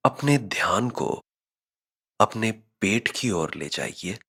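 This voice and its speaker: A man narrating a scripted guided meditation in a measured, instructional manner. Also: fairly low pitch, lively intonation, gravelly, pushed and bright, husky.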